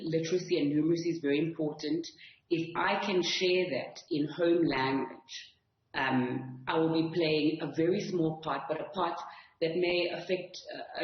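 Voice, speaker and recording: A woman's voice: low voice, echoey room, muffled recording. A woman with a South African accent giving earnest, articulate, conversational answers as an interview guest. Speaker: author and guest.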